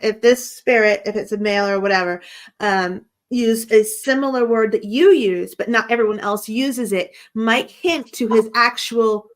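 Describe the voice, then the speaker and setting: An American woman from the United States hosting a casual chat, asking probing questions and laughing often. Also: on the high side. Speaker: host.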